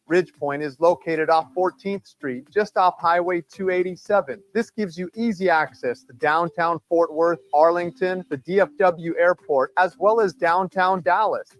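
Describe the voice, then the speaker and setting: A man reads a home-builder ad in a high, light voice. His delivery is smooth and even, polished like a commercial voice-over. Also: poor audio. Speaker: ad narrator. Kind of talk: scripted real estate ad read.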